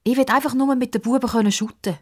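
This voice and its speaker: A woman's voice: fairly high pitch, fairly even intonation, quick pace, darkish tone, pitched above the performer's own voice, close to an everyday voice. A woman voicing an eager, sceptical young girl in a children's story, direct and questioning, with a Swiss German accent. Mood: insistent.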